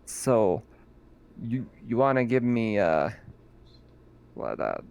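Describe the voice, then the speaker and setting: A man voicing an obliging male shopkeeper in a tabletop game, in a relaxed everyday manner close to his own voice. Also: on the low side, slow, vocal fry, clean tone, heavily acted. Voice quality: casual, easygoing shop talk.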